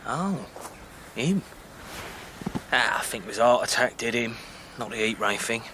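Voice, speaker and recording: A man acting a brisk, blunt soldier in a period radio drama, shaken by war but practical, with terse, plain-spoken, bossy delivery. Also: mid-range voice, expressive, sharp tone, a little hiss or hum. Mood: wry, commanding.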